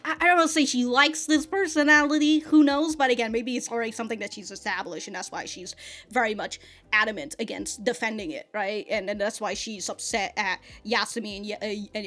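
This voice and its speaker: A woman's voice: mid-range voice, fairly even intonation, brisk, a bit bright, soft background music. A woman hosting an anime reaction channel, giving casual review commentary. She is chatty, swears freely, laughs often and thinks aloud with frequent restarts.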